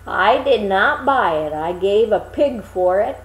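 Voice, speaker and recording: A woman's voice: medium pitch, expressive, a little slow, echoey room, close to an everyday voice. A woman voicing a cheerful, naive young man in a storybook reading, eager and guileless. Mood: proud, innocent.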